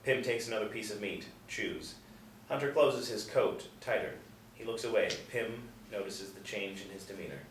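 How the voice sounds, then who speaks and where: A man's voice: lowish voice, moderate pace. A man reading stage directions as scripted narration at a table reading. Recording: roomy, distant mic, faint noise.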